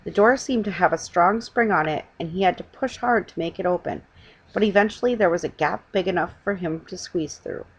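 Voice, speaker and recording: A middle-aged woman reads a children's storybook aloud with a husky voice, stumbling now and then and correcting herself. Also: on the low side. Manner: halting reading with stumbles and self-corrections. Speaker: reader. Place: small living room at home, indoors. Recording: dull lo-fi webcam sound.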